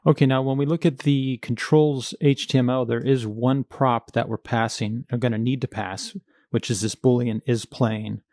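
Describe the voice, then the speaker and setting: Creaky-voiced man teaching coding. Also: mid-range voice, steady tone, moderate pace, darkish tone, no echo, clean studio sound. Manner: informal, thinking aloud while working. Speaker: programming tutorial instructor. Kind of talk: step-by-step coding screencast narration.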